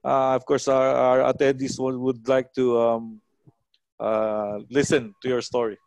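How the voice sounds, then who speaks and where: Halting Filipino man, dry close sound. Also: mid-range voice, flat delivery, a little mellow, no room sound. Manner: halting, hesitant delivery. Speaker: host of an online coaching talk. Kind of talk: informal hosting and introductions. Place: small room at home. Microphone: headset.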